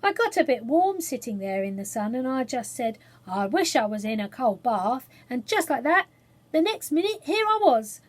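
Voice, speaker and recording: A woman's voice: sits high, sing-song, normal speed. A woman voicing a cheerful, dreamy female cook in a children's story, chatty and blithely unbothered. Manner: chatty, happy-go-lucky.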